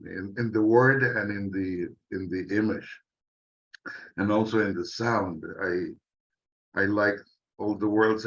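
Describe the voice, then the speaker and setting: A man with a fairly low voice talks slowly and reflectively on a panel. He wanders wryly from point to point. The audio is a little muffled. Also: fairly bright tone. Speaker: panelist, science fiction writer and artist. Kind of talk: unscripted panel introduction. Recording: muffled, dull online call audio.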